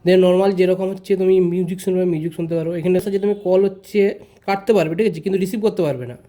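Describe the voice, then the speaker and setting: Male vlogger, high mellow voice, informal hands-on tutorial delivery with repeated phrases. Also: steady tone, very fast. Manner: informal, repeats phrases, addresses viewers directly. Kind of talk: casual step-by-step product tutorial.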